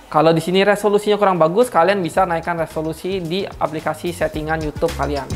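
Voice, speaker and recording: A woman's voice: on the low side, fairly even intonation, quick pace, music in the background. A woman giving a chatty, slangy product review, talking straight to her viewers. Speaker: car audio reviewer.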